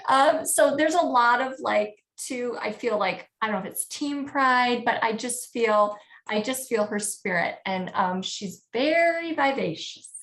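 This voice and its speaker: A woman with a fairly high, soft voice leads a spiritual reading over a video call. She talks in short bursts and keeps checking whether the listener understands. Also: expressive, unhurried. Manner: soft-spoken, frequent checking questions. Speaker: spiritual medium.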